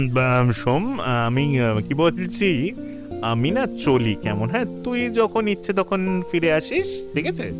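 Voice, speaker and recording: Man playing a wary young buddy, slightly high voice, animated swings, teasing, slangy audio drama, clear music behind. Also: slow pace. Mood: awkward, teasing. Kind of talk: colloquial audio drama dialogue.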